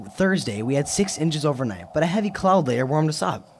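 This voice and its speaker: A man's voice: a little high, sing-song, normal speed, slight background noise. A male actor playing a nerdy, matter-of-fact know-it-all schoolboy in a radio drama.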